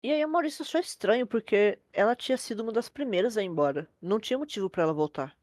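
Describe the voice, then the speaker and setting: A woman's voice: medium pitch, expressive, quick pace, darkish tone, no room sound. A woman with a Brazilian accent narrating a horror story in an informal storytelling style.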